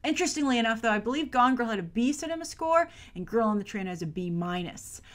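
High-voiced American woman hosting movie commentary. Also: lively intonation, quick pace. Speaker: movie news show host. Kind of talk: chatty box office commentary to camera.

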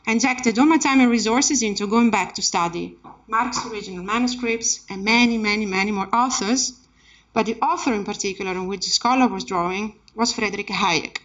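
A woman's voice: mid-range voice, a little fast, fairly bright tone. A woman giving an academic keynote talk as personal storytelling, with an emphatic, impassioned delivery. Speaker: keynote speaker, academic researcher. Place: indoor seminar room, speaking at a lectern. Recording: dull, muffled lecture-room recording.

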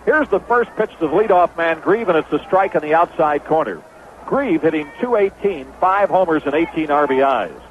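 An American man does baseball play-by-play in the manner of 1970s radio. His voice sits high for a man. The old broadcast is thin and tinny, with some noise over it. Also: expressive, moderate pace. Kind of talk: 1970s radio sports commentary. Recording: noisy phone-band vintage radio broadcast.

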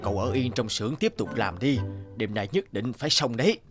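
A conniving middle-aged craftsman villain from a cartoon fairy tale, acted by a man. He talks with a master's authority and self-interest, over clearly heard music. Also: mid-range voice, expressive, fairly quick, natural voice. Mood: commanding. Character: scheming, self-serving middle-aged royal master sculptor. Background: background music.

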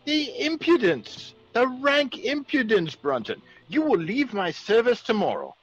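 An actor playing a genial, excitable aristocratic country squire, well-bred and blustering, in classic radio drama. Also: male voice, very high-pitched, unhurried, husky, soft background music, exaggerated voice. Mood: outraged. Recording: dull, faintly noisy old radio recording.

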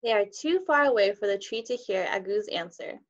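A woman's voice: medium pitch, animated, normal speed. A young woman teacher reading a children's picture book aloud on a video call. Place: indoors, on a group video call. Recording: dull, muffled video call audio.

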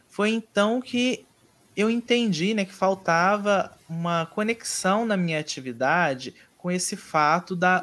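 This is a man's voice, pitched a little above the usual, delivering an online course lecture. He explains step by step in a measured way. Hesitation fillers break up his sentences. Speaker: course instructor.

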